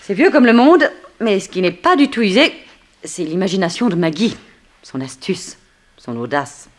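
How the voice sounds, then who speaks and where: A woman's voice: mid-range voice, lively intonation, normal speed, natural voice. An actress in an early 1960s French radio play, voicing a seductive, teasing, coolly persuasive woman thief. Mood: earnest.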